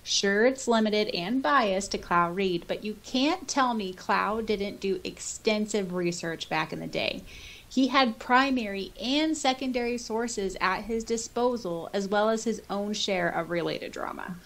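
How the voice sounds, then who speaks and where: A woman's voice: medium pitch, a little slow, a bit bright. A woman co-hosting a podcast in casual chat, reading out comments in a giggly, effusive manner. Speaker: podcast co-host.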